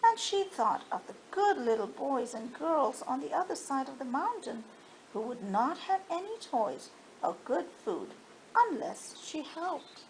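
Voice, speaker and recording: High-pitched woman reading a children's story. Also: a little slow, a little hiss or hum, poor audio. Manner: expressive, dramatized. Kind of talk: children's story read-aloud.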